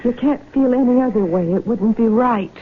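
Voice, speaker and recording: An actress playing an affectionate, folksy young farm bride from Texas in a radio drama. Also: female voice, a little high, normal speed, close to an everyday voice. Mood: earnest, firm. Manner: teasing, folksy. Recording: muffled, noisy old radio broadcast.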